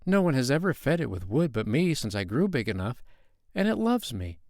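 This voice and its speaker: A man's voice: sing-song, fairly quick, a little mellow, no echo, studio quality. Man doing a tearful pleading little boy. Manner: high, trembling and tearful. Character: small earnest pleading boy.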